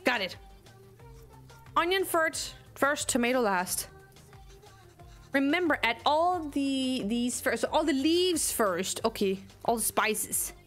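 A young Danish woman with a Danish accent, streaming a game and commenting in an animated, ranting way, reading lines aloud as she plays. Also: high voice, takes it slowly, long pauses, soft background music. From Denmark. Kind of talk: let's play commentary.

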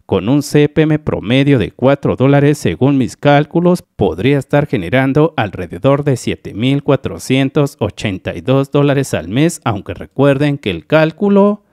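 Lively methodical man from Mexico. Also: mid-range voice, moderate pace, hardly any pauses, no room sound, studio quality. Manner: methodical, addresses viewers as dear friends. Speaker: YouTube channel analyst. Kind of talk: screen-recorded explainer voice-over.

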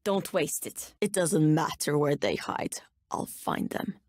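An actress with a Turkish accent voicing a menacing, sardonic nightmare-wielding bounty hunter in a video game, speaking with cool, ominous deliberation. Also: female voice, low voice, slow, a little mellow, dry recording, studio quality. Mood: cool menace. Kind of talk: video game character voice lines.

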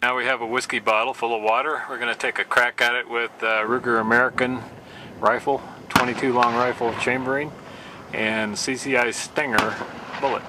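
A man with a mid-pitched voice narrates in a plain, matter-of-fact way, as if running through tests at a range. The recording is noisy and outdoors, with the clatter of the open air around him. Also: little pitch movement, moderate pace. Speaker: channel host. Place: outdoor shooting range, partly in a car.

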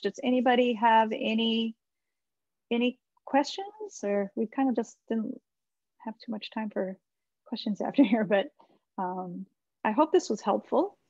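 Creaky-voiced American woman, encouraging advisor. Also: a little high, unhurried, darkish tone, no echo. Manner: encouraging, conversational, thinking aloud. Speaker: webinar presenter, website marketing consultant. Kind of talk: informal website critique and advice. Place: small indoor room with bookshelves. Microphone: computer mic on a video call. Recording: slightly dull video-call audio.

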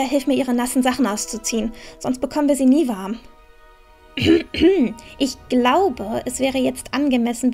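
A woman playing a resolute, caring young heroine in a fantasy audio drama, earnest and bossy yet tender. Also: high-pitched. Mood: urgent, commanding.

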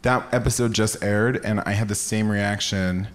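A man's voice: fairly low pitch, fairly even intonation, normal speed. A man guesting on a live show, chatting casually in a dramatic, self-deprecating way. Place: on stage in a theater. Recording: noisy live theater recording.

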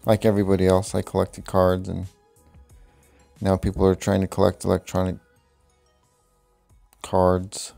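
A man's voice: low-pitched, completely flat delivery, a little slow, gravelly, long pauses. A man talking off the cuff, rambling and swearing, with a voice-over over music. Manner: profane, rambling, laughs at own jokes. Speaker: vlogger. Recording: voice over loud background music.

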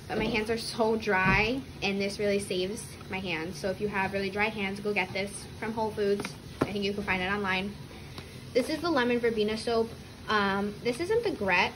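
A woman's voice: mid-range voice, background noise. A woman vlogger chatting informally and casually in a home kitchen. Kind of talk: casual chat, narrating a grocery haul and kitchen routine.

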